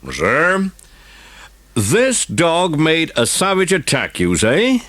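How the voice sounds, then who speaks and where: A man plays a pompous, bullying village policeman from a children's storybook. He blusters in drawn-out official phrases, pushed up high and swinging about in pitch. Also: huge pitch swings, fairly bright tone, no room sound, full character voice.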